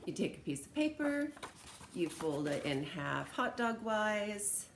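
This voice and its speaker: A woman's voice: mid-range voice, big pitch swings, a little slow, a little hiss or hum. An American woman teacher talking informally and encouragingly to students as she reads aloud and demonstrates things. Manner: encouraging, conversational.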